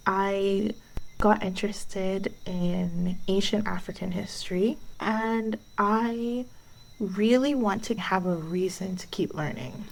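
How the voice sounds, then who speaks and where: A woman from Barbados hosting her own podcast, talking through her thoughts in an unhurried, reflective way. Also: mid-range voice, vocal fry, poor audio. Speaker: podcast host. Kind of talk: reflective solo podcast monologue.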